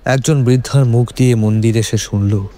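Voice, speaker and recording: A man's voice: medium pitch, fairly even intonation, moderate pace, dark tone. A man reading a scripted horror story as a dramatic narrator. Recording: music under the voice. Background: background music.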